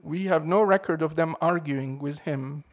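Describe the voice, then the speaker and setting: A man preaching a sermon in a measured, emphatic way, leaning hard on key words. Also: a little high.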